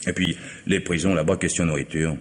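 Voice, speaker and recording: An actor playing a wry, cheeky vagabond who scrounges drinks, with sardonic, drawling banter, in a vintage radio drama. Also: male voice, deep voice, fairly even intonation, a little fast. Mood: sly. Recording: old radio recording, long reverb, faint hiss.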